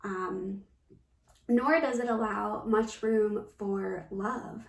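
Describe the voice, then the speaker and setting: A woman giving a reflective spiritual talk as a coach, gentle and earnest, often pausing mid-phrase. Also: mid-range voice, creaky. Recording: roomy echo, distant from the mic.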